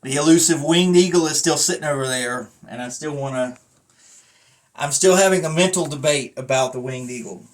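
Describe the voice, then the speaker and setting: A man's voice: a little high, moderate pace, rough recording. A man talking casually to camera in a rambling review, with a blunt, self-deprecating manner. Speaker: vlogger and wrestling belt collector reviewing a belt.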